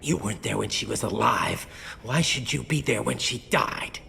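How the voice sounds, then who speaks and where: A man voicing an angry, resentful young alien, an estranged son in a video game, gravelly and scornful. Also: medium pitch, moderate pace, sharp tone, slight background noise. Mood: bitter. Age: young adult. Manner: scornful, volatile.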